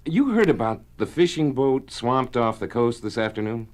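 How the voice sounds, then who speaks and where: An actor playing a calm, fatherly pilot in a 1970s radio drama. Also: mid-range voice, moderate pace, lightly acted. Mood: urgent. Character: steady pilot father, middle-aged man.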